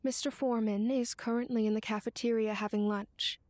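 A woman voicing an eerie caretaker in a horror audio drama, formal and polite with a slightly raised pitch. Her courteous manner carries an unsettling edge. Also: fairly even intonation, brisk, no room sound, lightly acted. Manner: formal, polite, drops to a hush. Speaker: care home receptionist.

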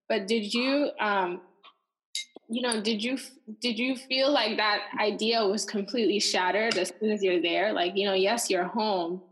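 A woman's voice: medium pitch, husky, low-quality recording. A woman from the United States co-hosting a casual podcast chat, asking earnest, probing questions. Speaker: co-host.

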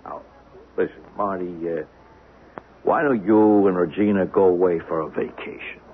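An actor in a 1970s radio drama playing a reasonable, skeptical police officer who argues in a measured, emphatic way. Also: male voice, low voice, steady tone, slight background noise, lightly acted. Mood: skeptical, patient. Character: reasonable, skeptical police officer; also a taunting alien suspect. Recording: muffled, band-limited 1970s radio broadcast.

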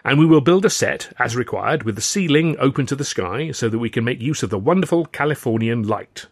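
A vain film comedian shows off his success, as a male narrator plays him. He is boastful and theatrical, pushing his words with emphasis, and pitched a little above the storyteller in a close, dry audiobook recording. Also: animated, fairly quick, no echo. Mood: explaining, showing off.

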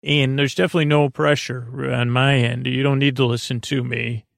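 A man hosting a bedtime podcast in a gravelly voice, rambling hesitantly and repetitively in a monologue meant to lull listeners to sleep. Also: medium pitch, level tone, moderate pace, dry recording, clean studio sound. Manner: meandering, hesitant, repetitive, with murmured asides. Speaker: podcast host.

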